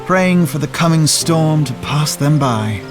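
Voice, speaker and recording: A man's voice: on the high side, animated, unhurried, music in the background. A man voicing a wry, eloquent strategist in a fantasy video game, with articulate, formal and sardonic phrasing. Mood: wry, exasperated. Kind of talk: dramatic fantasy game cutscene acting.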